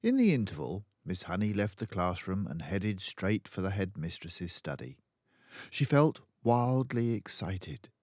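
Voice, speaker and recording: Older guy reading a kids book, slow and very expressive, close dry slightly muffled sound. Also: low voice, no room sound. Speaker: storyteller.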